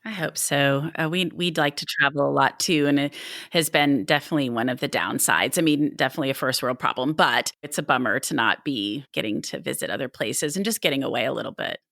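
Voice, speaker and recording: A woman with a notably low-pitched voice, speaking conversationally as a podcast host. The sound is dry and close, without room reflections. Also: brisk, gravelly, a bit bright, dry recording. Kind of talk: conversational book podcast interviewing.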